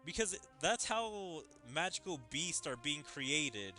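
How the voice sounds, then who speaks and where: A man's voice: fairly high pitch, big pitch swings, takes it slowly, pushed and bright, soft background music. A man giving casual game commentary as a streamer, speculating and musing aloud.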